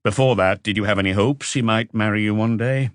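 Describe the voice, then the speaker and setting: A man voicing a calm, probing police inspector in an audiobook, with measured, terse questioning. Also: fairly low pitch, no echo, clean studio sound, close to an everyday voice.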